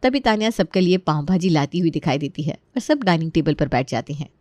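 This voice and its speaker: A woman narrating a family cartoon story in an expressive, conversational storytelling style. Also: low voice, brisk, dry recording. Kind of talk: animated Hindi storytelling narration.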